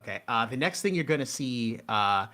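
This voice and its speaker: A man hosting a casual live commentary on an audio drama, talking haltingly and often interrupting himself. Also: mid-range voice, lively intonation, bright, forceful tone. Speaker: host and co-creator of an audio drama.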